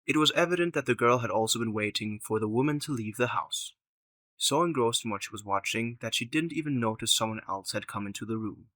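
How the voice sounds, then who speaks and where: Male narrator, bright crisp-edged tone, medium pitch, scripted storytelling, close dry sound. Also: fairly quick, no room sound.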